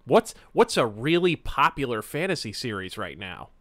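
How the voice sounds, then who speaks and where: A man's voice: medium pitch, big pitch swings, normal speed, a bit bright, no echo. A man giving sarcastic, crude running commentary while playing a video game. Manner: sarcastic, snarky, crude jokes. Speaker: let's play host.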